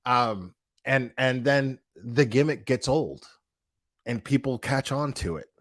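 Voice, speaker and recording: A man's voice: mid-range voice, takes it slowly, a bit bright. A man ranting in a casual reaction video, blunt and profane, with lots of restarts and slangy fillers. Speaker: online commentator.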